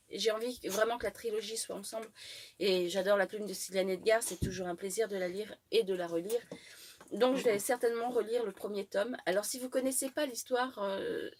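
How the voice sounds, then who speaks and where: A woman with a head cold, chatting through a book haul, hesitant and full of fillers and restarts. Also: mid-range voice. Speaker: book vlogger.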